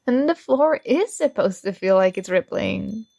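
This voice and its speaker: A woman voicing a possessive young princess in an ASMR roleplay, talking in a soft, slow, coaxing and teasing way. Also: medium pitch, expressive, vocal fry, no room sound, clean studio sound. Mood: sweetly commanding.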